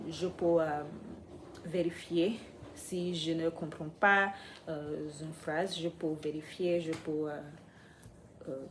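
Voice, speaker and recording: A woman speaking French as a second language, talking unscripted in a vlog, pausing often and searching for words. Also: sits low, background noise. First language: not French. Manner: hesitant, many pauses and fillers, searching for words. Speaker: French learner vlogger.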